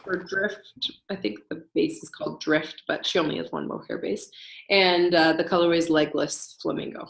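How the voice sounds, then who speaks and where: A woman's voice: deep voice, normal speed, creaky. Woman, podcast co-host, easygoing chat, roomy echo. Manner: relaxed, easygoing, uses lots of fillers. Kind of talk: casual knitting chat. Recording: echoey room.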